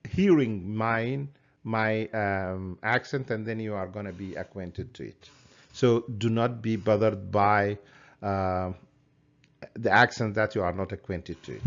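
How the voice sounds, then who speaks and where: A man's voice: mid-range voice, highs cut off. A man teaching biology with a Sudanese accent that leans American, hesitant and full of fillers and restarts as he explains his course plan. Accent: Sudanese English with an American lean. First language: Arabic. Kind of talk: recorded lecture introduction.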